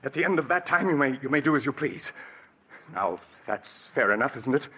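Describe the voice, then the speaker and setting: An actor playing a scheming male poisoner in a 1940s radio drama, with a husky voice and a silky, menacing way of talking full of hesitant fillers. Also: mid-range voice, animated, brisk, sharp tone. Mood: coaxing. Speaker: villain, Roger. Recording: old 1940s radio broadcast recording.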